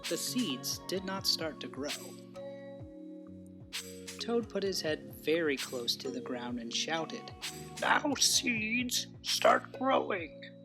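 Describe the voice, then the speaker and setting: Bedtime story reader for little kids, slow, really varied pitch, music behind. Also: lively intonation. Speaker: storybook narrator. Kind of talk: scripted children's bedtime story reading.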